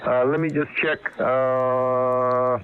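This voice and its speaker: A man working as an amateur radio operator, talking unscripted and haltingly, searching for words over a shortwave radio contact. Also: medium pitch, little pitch movement, noisy background. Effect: heard over shortwave radio. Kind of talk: unscripted ham radio conversation. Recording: narrow tinny amateur radio transmission.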